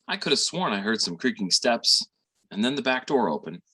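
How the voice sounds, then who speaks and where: A man playing a gentle, devoted middle-aged Southern father in stage-play dialogue, speaking in a fond, coaxing way. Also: medium pitch, big pitch swings, brisk, husky, full character voice. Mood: teasing, fond.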